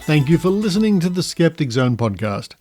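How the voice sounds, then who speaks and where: A man's voice: a little high, very animated, brisk, over music. An Australian-accented man hosting a podcast, reading out what is coming up. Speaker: podcast host. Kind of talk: scripted podcast outro.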